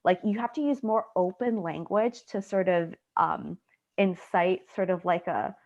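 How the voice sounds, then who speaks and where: A woman's voice: on the low side, a little slow. A young woman from Canada, a student researcher, answering a question in a chatty way full of "like" fillers over a video call. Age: young adult. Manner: chatty, many "like" fillers, quotes people. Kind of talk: casual explanatory answer. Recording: muffled video call audio.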